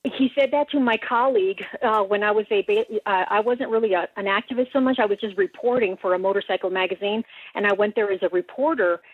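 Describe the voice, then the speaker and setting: Husky American woman on a tinny phone line. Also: mid-range voice, fast, dry recording. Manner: earnest, recounting with quoted dialogue. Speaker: radio call-in guest. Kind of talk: conversational storytelling. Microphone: telephone.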